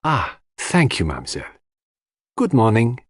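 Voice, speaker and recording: A man plays a shrewd foreign detective in an audiobook, courteous and formal. His pitch moves in lively swings as he sets out his theories precisely, in a close, dry sound. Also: moderate pace, breathy edge, dry recording. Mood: polite, inquiring. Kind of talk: audiobook character dialogue.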